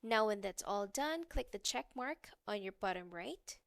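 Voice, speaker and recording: A woman's voice: medium pitch, expressive, moderate pace, gravelly, no echo, studio quality. A woman reading a scripted how-to narration, giving step-by-step instructions as a tutorial narrator.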